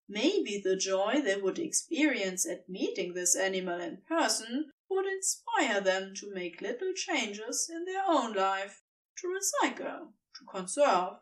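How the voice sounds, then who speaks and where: A woman's voice: on the high side, recorded from a distance, rough recording. A woman reading scripted fiction as a storybook narrator.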